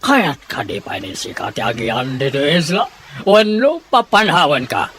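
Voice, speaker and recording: An actor playing confrontational island figures, a domineering landowner among them, in a theatrical radio drama style. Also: male voice, fairly high pitch, sing-song, normal speed, fairly bright tone, slight background noise. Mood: threatening.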